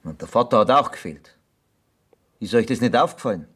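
An actor playing a knowing villager with a Bavarian accent, wry and probing, in a radio play. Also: male voice, fairly low pitch, lively intonation, brisk, fairly bright tone, slightly rough. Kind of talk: 1970s German radio play acting.

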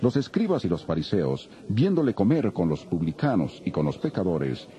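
A man's voice: sits low, big pitch swings, moderate pace, mellow. A man narrating the Bible in a solemn, dramatic, scripted storytelling style. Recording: dull lo-fi, music behind.

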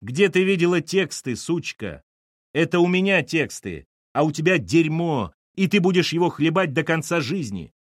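A man's voice: on the high side, fairly quick, few pauses, dry recording, studio quality, pitched above the performer's own voice, natural voice. A man voicing a spiteful young male colleague who sneers and threatens, in an audiobook reading. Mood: sneering. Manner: sneering, vicious.

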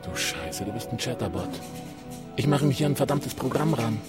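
A gruff, impatient man voiced by an actor in a radio play, coarse and irritable. Music plays behind his low voice. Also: expressive, normal speed, close to an everyday voice. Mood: annoyed, contemptuous. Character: lecherous, impatient, foul-mouthed moneyed man. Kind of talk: German radio drama acting.

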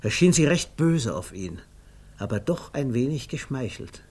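Measured male narrator, vintage radio. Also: mid-range voice, sing-song, darkish tone, breathy edge. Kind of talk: literary narration in a 1950s German radio play. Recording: faintly noisy vintage radio recording.

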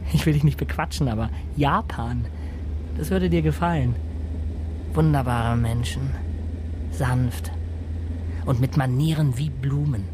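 An actor playing a dreamy, soft-spoken male drifter with wild plans, wistful and coaxing in a radio drama. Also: medium pitch, a little hiss or hum, lightly acted.

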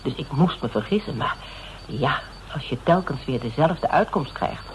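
An actor playing a persistent, polite private detective in a Dutch radio drama, speaking in a measured, explanatory, quietly probing way. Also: male voice, medium pitch, expressive, lightly acted. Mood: measured, insistent. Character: persistent male private detective questioning a suspect, methodical and polite. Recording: noisy old radio drama recording.

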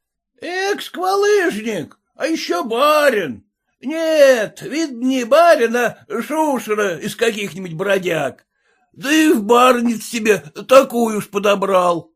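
A drunken, red-faced peasant carter from a storybook. His voice is pitched high and swoops around with a husky edge. He jeers and curses in coarse country speech, dragging out his shouts. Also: sing-song, exaggerated voice. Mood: jeering, angry. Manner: cursing, jeering, rustic colloquial speech, drawn-out shouts. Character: loud, abusive, drunken red-faced peasant cart driver, scornful.

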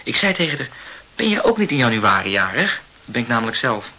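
Cheeky, eager young man student in a radio play; sharp, raspy voice, chatty delivery. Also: mid-range voice, big pitch swings, quick pace, heavily acted, poor audio. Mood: amused recounting.

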